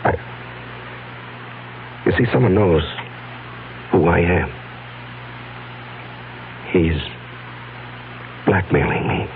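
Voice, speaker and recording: Male, very low, halting and earnest: tormented man on the run, vintage radio drama on a narrow band. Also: animated, a little hiss or hum, full character voice. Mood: troubled, confessing. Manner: halting, earnest, heavy pauses. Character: anguished fugitive man confessing a hidden past to his fiancée. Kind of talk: 1970s radio drama acting.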